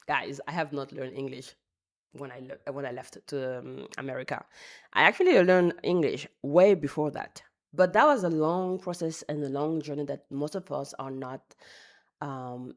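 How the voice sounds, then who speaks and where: A woman with a low, deep voice talks to her viewers in a casual vlog. Her delivery is chatty and animated, and she drops in wry, self-mocking asides. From France. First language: French. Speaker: language vlogger. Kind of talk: casual talk to camera.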